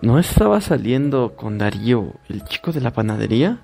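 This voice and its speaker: Hombre haciendo de una madre preocupada que calma. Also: male voice, medium pitch, normal speed, creaky, faint music behind. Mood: puzzled. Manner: soothing, firm, reassuring. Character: worried middle-aged mother, calming.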